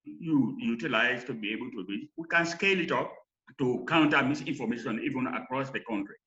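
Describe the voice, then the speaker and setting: Slightly lo-fi sound carries a man with a Sierra Leonean accent. His voice is bright and forceful at a medium pitch. He presents as a researcher, explaining haltingly and repeating words as he goes. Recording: slightly dull online call audio.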